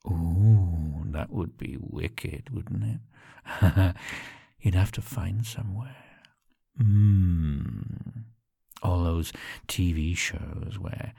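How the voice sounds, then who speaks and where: A man speaking softly and teasingly to the listener in an intimate monologue, often dropping to a whisper and chuckling between phrases. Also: deep voice, vocal fry, breathy edge, no echo, studio quality.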